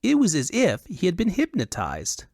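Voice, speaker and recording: A man voicing a vain, excitable, dim-witted foppish nobleman in an audiobook, with an affected, haughty, theatrical delivery. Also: high-pitched, moderate pace, gravelly, slightly rough, dry recording, clean studio sound, voice pushed up, exaggerated voice. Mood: excited, bewildered. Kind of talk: audiobook character acting.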